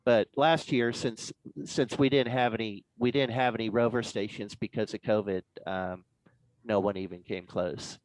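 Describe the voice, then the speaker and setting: Low-voiced man giving an informal club presentation. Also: fairly even intonation, normal speed, no room sound, poor audio. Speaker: guest speaker presenting contest rules. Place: online club meeting.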